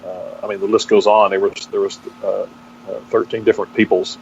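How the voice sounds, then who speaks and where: A middle-aged man with a Texan accent giving a reflective interview as a guest, speaking haltingly and searching for his words. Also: on the low side, level tone, darkish tone. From Texas, United States. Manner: halting, searching for words with repeated false starts. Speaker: interview guest, pastor and seminary teacher. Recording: dull, band-limited audio with faint noise.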